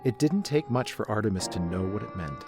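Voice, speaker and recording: Casting: male narrator for a dramatic story reading, lowish voice, quiet music underneath. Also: expressive, a little fast.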